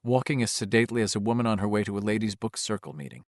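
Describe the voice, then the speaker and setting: A man reading horror fiction as a dramatic, expressive audiobook narrator, with a suspenseful delivery. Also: lowish voice, rapid-fire, no room sound, clean studio sound.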